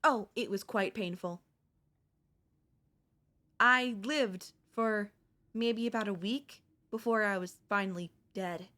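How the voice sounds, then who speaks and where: A woman's voice: medium pitch, takes it slowly, long pauses, pushed and bright. A woman voicing a cute, affectionate young ghost girl in an intimate ASMR audio roleplay, casually frank and hesitant. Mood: nonchalant, frank. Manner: casual, hesitant, matter-of-fact about grim things. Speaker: voice actor.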